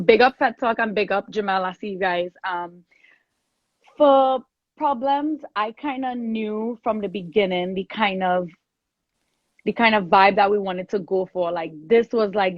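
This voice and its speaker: A woman's voice: medium pitch, no echo, highs cut off. A woman from Trinidad, chatting casually and gratefully as a singer being interviewed. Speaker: soca singer, interview guest.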